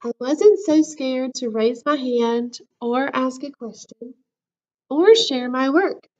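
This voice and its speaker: A woman reading a children's story aloud in an expressive, animated way, like a school counselor with a class. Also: sits high, slow, dull audio.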